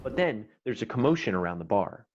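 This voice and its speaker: A man's voice: fairly low pitch, sing-song, a little fast, darkish tone. A man narrating stage directions from a screenplay in a scripted read. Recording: dull, noisy online recording with clicks.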